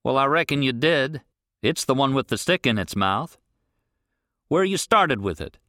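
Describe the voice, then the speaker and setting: A man's voice: mid-range voice, very animated, normal speed, bright, forceful tone, no echo, studio quality, pitched above the performer's own voice. A man voicing a blunt, exasperated older male rancher, drawling. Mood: gruff. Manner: gruff drawling, raised voice.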